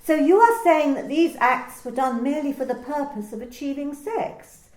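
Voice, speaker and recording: An amateur actress playing a formal, officious female workplace manager in a radio play, measured and precise. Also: sits high, animated, darkish tone, echoey room.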